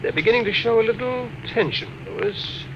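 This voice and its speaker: A man's voice: extremely high pitch, brisk. An actor playing a worried, argumentative male ship's doctor in an old radio drama, wry and emphatic. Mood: concerned. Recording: noisy vintage radio drama recording.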